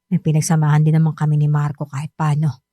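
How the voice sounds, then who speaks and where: An actress playing a gentle, sorrowful middle-aged mother who pleads softly and hesitantly with her grown son in a radio drama. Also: low voice, monotone, fast talker, no room sound, natural voice. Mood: apologetic. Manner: soft, pleading, hesitant confessions.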